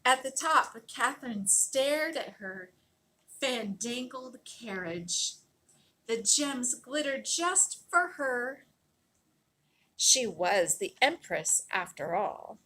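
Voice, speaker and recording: Female storybook narrator, husky yet fairly high voice, theatrical and emphatic delivery for young listeners. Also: very animated, pushed and bright, low-quality recording. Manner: dramatic, emphatic storytelling with drawn-out words. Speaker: storybook reader. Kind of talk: expressive read-aloud of a children's picture book.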